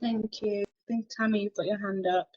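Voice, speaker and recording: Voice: mid-range voice, fairly even intonation, normal speed, darkish tone. A facilitator politely moderating an online panel, giving friendly reminders and handing over to speakers. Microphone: video call. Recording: dull video call audio.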